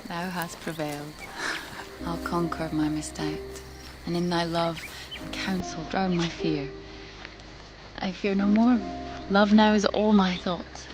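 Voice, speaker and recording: A woman's voice: lowish voice, steady tone, over music. An actress playing a passionate, anxious young noblewoman in love, speaking poetic verse in a classical radio drama. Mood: amorous. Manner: poetic, deliberate verse delivery.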